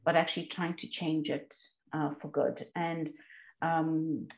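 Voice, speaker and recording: A woman from South Africa giving reflective interview answers as a lecturer, thoughtful and halting as she rephrases her points. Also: deep voice, steady tone. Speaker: university lecturer, podcast guest.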